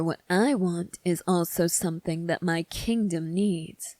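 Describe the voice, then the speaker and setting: A woman playing a cunning, self-assured young prince in an ASMR roleplay, speaking in a measured, formal, teasing way. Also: fairly low pitch, no room sound. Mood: calm, persuasive.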